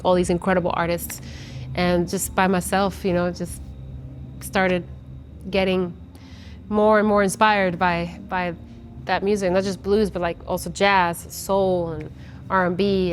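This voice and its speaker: A woman in her forties, originally from Guatemala, talks casually about her music in an interview. She is chatty and often restarts her sentences. Her voice sits at a middle pitch, with faint noise behind her from the outdoor festival. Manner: chatty with many restarts and fillers. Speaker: musician guest.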